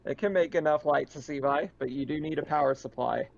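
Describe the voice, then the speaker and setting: A man's voice: on the high side, brisk, vocal fry. Man game mastering, slightly dull audio. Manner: conversational, narrates in second person. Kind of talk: running a tabletop roleplaying game.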